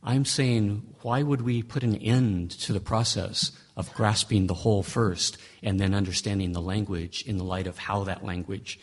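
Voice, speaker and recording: Husky, emphatic male Bible lecturer. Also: lowish voice, steady tone, moderate pace, few pauses. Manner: conversational, emphatic, with frequent restarts and rhetorical questions. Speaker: Bible teacher. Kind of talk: lecture on interpreting scripture.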